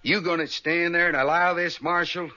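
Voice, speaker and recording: A man's voice: fairly high pitch, level tone, moderate pace, bright, forceful tone. An actor playing a belligerent, taunting cattleman villain in an Old West radio drama. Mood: accusing. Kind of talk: 1950s radio western acting. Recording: muffled old radio recording with faint hiss.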